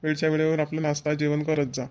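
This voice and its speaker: An actor plays a village brother and husband in a family cartoon. He speaks quickly and insistently, in a homely way. His accent is rural Varhadi Marathi, and he is bossy but caring. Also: medium pitch, completely flat delivery, a little mellow, natural voice. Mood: concerned. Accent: Maharashtrian, rural Varhadi Marathi.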